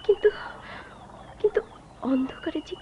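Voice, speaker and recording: A woman's voice: high-pitched, lively intonation, measured pace, in a whisper. A woman acting a grieving, faithful woman who waits for her lost beloved, in a wistful, theatrical radio drama style. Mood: melancholy, gentle. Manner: theatrical, wistful, breaking into anguished outbursts. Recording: dull lo-fi radio recording, clearly noisy.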